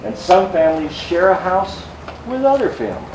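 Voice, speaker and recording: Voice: mid-range voice, sing-song. A storyteller reading aloud to young children in a playful way, drawing out contrasts. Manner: slow, playful, exaggerated contrasts for young listeners. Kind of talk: animated children's read-aloud. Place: roomy public library story-time space. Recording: noisy echoey room, distant mic.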